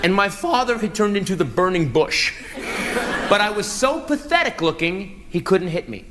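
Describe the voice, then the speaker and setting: A man telling a story as a stand-up comedian, acting it out in an animated way. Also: sits high, normal speed. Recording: live club recording, loud audience laughter, noisy. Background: laughing audience.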